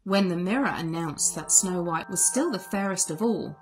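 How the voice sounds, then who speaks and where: A woman narrating a children's story aloud in an expressive storytelling style. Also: fairly low pitch, normal speed, poor audio. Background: music.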